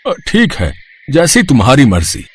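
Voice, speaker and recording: Man acting husky, kindly old man, swooping pitch. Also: huge pitch swings, normal speed. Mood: warm, inviting. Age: older. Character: kindly, grateful old man, gentle and pious. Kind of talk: animated Hindi moral story acting.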